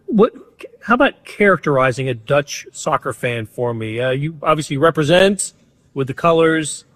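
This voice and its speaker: A man interviewing a guest, asking curious questions with halting restarts. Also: on the high side, expressive, a bit bright, no echo.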